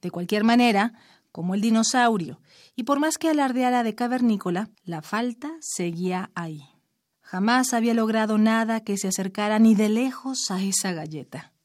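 A woman reading her own short story aloud in a measured, expressive storytelling manner. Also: a little high, dry recording, studio quality.

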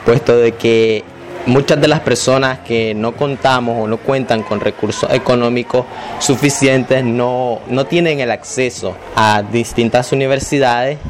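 A man's voice: medium pitch, steady tone, measured pace, slight background noise. A young man from Nicaragua, a university student, answering in a formal, careful way.

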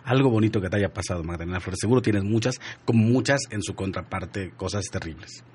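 A man's voice: lowish voice, fast, darkish tone, husky. A man hosting a radio show, chatting casually and teasing his guests in a jovial way.

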